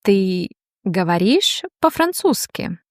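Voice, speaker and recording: A woman teaching a language, reading slow, deliberate question-and-answer drills with long pauses. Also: medium pitch, sing-song, darkish tone, no echo, clean studio sound.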